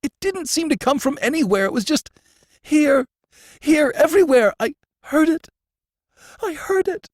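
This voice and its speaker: Terrified, sobbing prisoner: high, cracking, stammering pleas in a dry booth. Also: male voice, lively intonation, normal speed, pauses often, no room sound. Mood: panicked pleading. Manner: stammering, pleading. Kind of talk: audiobook character voice.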